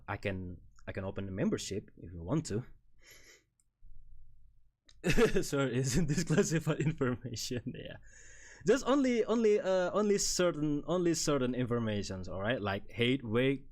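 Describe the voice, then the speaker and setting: An Indonesian-accented man in his thirties talks loosely through a stream, rambling and chuckling as he goes. His pitch swings up and down with plenty of life while sitting at an ordinary middle height for a man. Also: darkish tone. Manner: rambling and good-humored, chuckles often. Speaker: VTuber streamer. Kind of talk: casual live-stream chat presenting slides.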